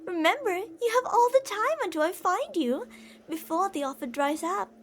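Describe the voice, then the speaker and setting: Obsessive female yandere captor played by a woman, ASMR roleplay: high, very animated, theatrical, sugary endearments laced with menace. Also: normal speed, a little hiss or hum. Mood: scolding, sweet. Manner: theatrical, teasing sing-song with endearments and threats. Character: older obsessive yandere woman kidnapper, possessive, menacing, sweet-talking.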